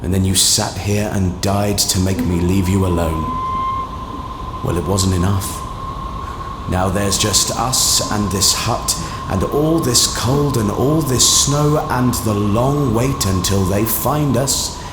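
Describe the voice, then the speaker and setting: A vengeful male ghost played by a man in an audio drama, taunting and accusing. He speaks very slowly and deliberately. The voice is fairly low and sits in a roomy echo over clear background noise. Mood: accusing, menacing. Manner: slow, taunting, deliberate questioning.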